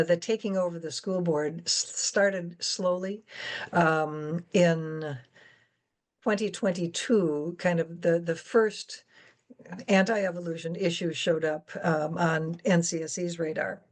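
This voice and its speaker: Female moderator, slightly low register, conversational and explanatory, for an educational panel discussion. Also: slow pace. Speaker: guest moderator, science educator.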